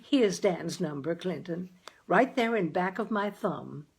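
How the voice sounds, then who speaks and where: A woman's voice: on the low side, lively intonation, unhurried, creaky, husky, full character voice. A woman voicing a chatty, earnest, homespun housewife and mother in a storybook, folksy and given to exclaiming. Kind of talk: dramatized audiobook character.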